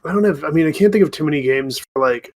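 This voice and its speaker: Higher-pitched man in casual podcast chat. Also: animated, quick pace, a little mellow. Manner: informal, says "like" often. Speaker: podcast co-host. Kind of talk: casual chat among friends.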